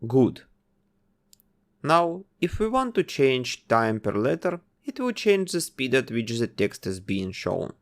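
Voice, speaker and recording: Man with a lively voice narrating a coding tutorial. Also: medium pitch, slow pace. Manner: explains code step by step while testing it. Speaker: game development tutor. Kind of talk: screen-recorded coding tutorial.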